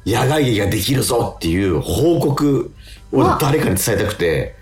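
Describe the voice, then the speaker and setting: A man telling stories as a radio host in casual chat, excitable and colloquial, often repeating his agreements. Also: medium pitch, normal speed, echoey room, soft background music. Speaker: radio show DJ and stage actor.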